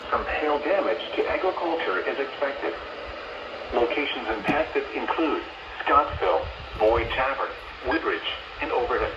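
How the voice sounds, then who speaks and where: A man's voice: medium pitch, animated, a little slow. A man formally and methodically reading a scripted severe weather bulletin as an announcer, heard through a radio speaker. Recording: muffled radio speaker, distant, roomy, loud noise.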